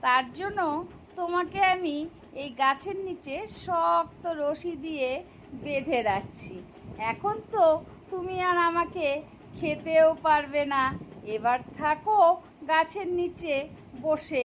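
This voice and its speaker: Woman voicing a sly high-pitched cartoon fox. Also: slow, long pauses, fairly bright tone, slight background noise, heavily acted, rough recording. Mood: triumphant. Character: sly, clever, smooth-talking fox outwitting a tiger. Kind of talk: animated children's story dialogue.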